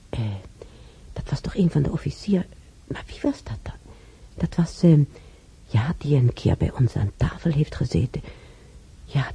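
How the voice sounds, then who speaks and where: A woman's voice: sits low, full character voice. An actress playing a chatty, wistful old woman who muses aloud and rambles in a radio drama. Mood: nostalgic. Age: older. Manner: rambling, musing aloud, repeating herself. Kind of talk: radio drama monologue and dialogue. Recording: dark, slightly noisy radio drama recording.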